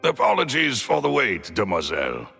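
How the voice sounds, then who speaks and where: A man voicing a formal, courteous and dutiful attendant in a video game, with a gravelly voice. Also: lowish voice, wildly swooping pitch, moderate pace, sharp tone, faint music behind, heavily acted.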